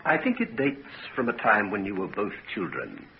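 Grave, low-voiced father, animated delivery. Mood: uneasy, grave. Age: middle-aged. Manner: earnest, solemn, deliberate delivery. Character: grave, suspicious, protective father of a grown daughter. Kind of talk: 1940s radio drama acting. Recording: dull, noisy 1940s radio recording.

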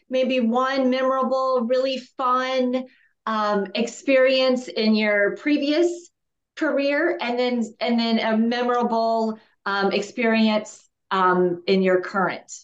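Slow, echoey woman host. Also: a little high, highs cut off. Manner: slow, searching, many fillers. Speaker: interview host. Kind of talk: casual interview chat.

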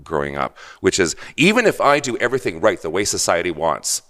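Low-voiced blunt Canadian man. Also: very animated, a little fast, pushed and bright. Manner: blunt, defiant, emphatic. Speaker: guest. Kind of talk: candid conversation.

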